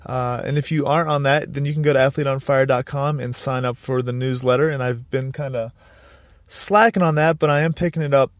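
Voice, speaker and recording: A man's voice: medium pitch, a little fast, no room sound, clean studio sound. Stuffed-up nasal male podcast host. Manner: upbeat, energetic, frequent restarts. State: stuffed up, nasal from a sinus infection. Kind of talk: podcast intro and interview. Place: Colorado.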